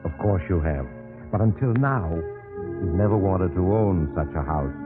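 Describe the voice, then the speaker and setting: A man's voice: low voice, lively intonation, normal speed, music in the background. A man playing a genial but sinister mysterious traveler, narrating an old radio mystery in a wry, theatrical manner. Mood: ominous, inviting. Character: mysterious male train traveler, genial, sinister teller of macabre tales. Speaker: narrator and host of mystery drama. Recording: muffled, noisy old radio recording.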